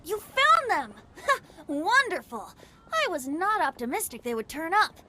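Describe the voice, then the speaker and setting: A woman's voice: very high-pitched, very animated, unhurried, background noise, heavily acted. A woman voicing a cheerful, playful young male bard with a flowery, theatrical way of speaking. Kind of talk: video game voice acting.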